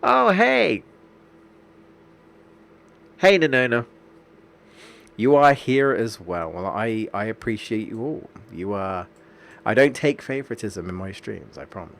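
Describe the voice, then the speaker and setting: Stuffy-nosed man, low voice. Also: very animated, a little slow, creaky, long pauses, a bit bright. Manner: rambling, chatty, restarts sentences often. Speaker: streamer. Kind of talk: casual chat while streaming a game.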